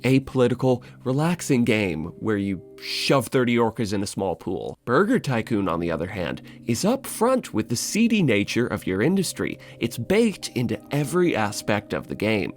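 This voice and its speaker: A man's voice: medium pitch, big pitch swings, moderate pace, hardly any pauses. A man narrating a scripted video essay in a wry, informal way, slipping in casual asides. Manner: wry, informal, dry humour.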